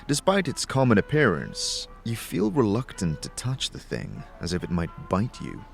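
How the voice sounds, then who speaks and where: A man narrating a fantasy adventure as a measured storyteller, in scripted second-person prose. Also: fairly low pitch, wildly swooping pitch, gravelly, faint music behind, heavily acted. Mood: measured, descriptive.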